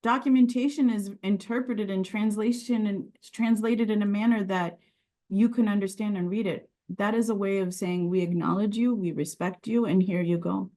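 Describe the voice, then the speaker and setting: A woman's voice: mid-range voice, steady tone, normal speed, mellow. A woman whose first language is Sicilian, speaking earnestly and reflectively as a podcast guest, with frequent restarts. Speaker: podcast guest, regulatory and quality director. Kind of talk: conversational interview.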